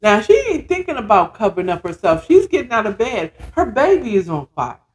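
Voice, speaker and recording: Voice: medium pitch, sing-song, a little fast. A podcast host casually retelling a story with dramatic, conversational emphasis, repeated words and blunt, slangy, profane commentary.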